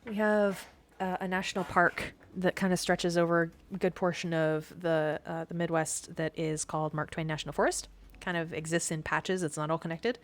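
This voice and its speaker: Chatty low-voiced woman streamer. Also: steady tone, moderate pace. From Missouri, USA. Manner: rambling explanations with many restarts and fillers. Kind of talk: casual chat while gaming.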